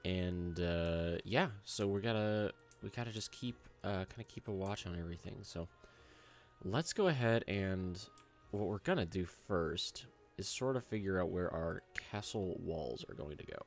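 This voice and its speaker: A young man hoarse from a cold, rambling casually with lots of fillers as he hosts his gameplay commentary. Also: sits low, animated, unhurried, soft background music. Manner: rambling, filler-heavy and chatty. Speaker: gaming channel host.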